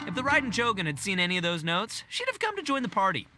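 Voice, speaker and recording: A man's voice: high voice, wildly swooping pitch, fast, bright, forceful tone, soft background music, exaggerated voice. A man voicing a brash, boisterous young gang boss in a video game, boastful, slangy and theatrical. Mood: cheerful, cocky. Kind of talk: animated video game voice acting.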